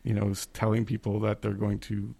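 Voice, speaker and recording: Gravelly-voiced man, hesitant and joking. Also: fairly low pitch, monotone, a little fast, warm tone. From Italian immigrant family background. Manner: hesitant, self-correcting, laughs at himself. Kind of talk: casual discussion. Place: remote call.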